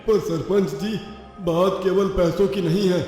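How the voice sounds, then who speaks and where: A man's voice: high voice, steady tone, measured pace, warm tone. A man playing an earnest, idealistic villager making his case in a moral-story cartoon. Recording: very echoey hall, faint music.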